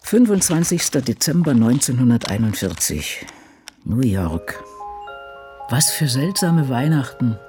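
A man's voice: medium pitch, big pitch swings, measured pace, music in the background. An actor playing a solitary, crotchety old woman novelist, reflecting and musing to herself in a radio drama. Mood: musing, working. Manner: musing, talking to self. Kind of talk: radio drama monologue, diary reading.

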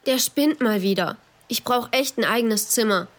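A woman's voice: fairly high pitch, normal speed, natural voice. A woman playing a sulky, slangy teenage girl who narrates her family life in a children's radio play. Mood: annoyed. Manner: casual, grumbling youth slang. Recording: music playing behind the voice. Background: loud music.

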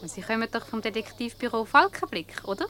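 A sly, flirtatious woman in a radio comedy, with a high voice that swoops about freely. She is teasing and sure of herself, and speaks with a Swiss German accent. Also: expressive, brisk, fairly bright tone. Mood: teasing, knowing. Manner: teasing, self-assured. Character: playful, cunning, flirtatious married woman fooling a detective.

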